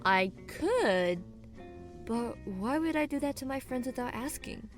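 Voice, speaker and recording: A woman's voice: high voice, animated, a little slow, faint music behind. A woman playing a kind, poised young female student with a dry wit in an audio drama. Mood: wry.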